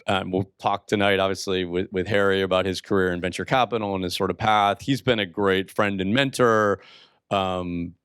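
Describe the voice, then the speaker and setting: A man's voice: sits low, steady tone, moderate pace, a bit bright, clear, resonant tone, dry recording, clean studio sound. A man hosting a university talk, giving a chatty, jokey welcome with lots of fillers, speaking into a handheld microphone. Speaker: event host, executive director. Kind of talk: welcoming introduction. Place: university entrepreneurship floor.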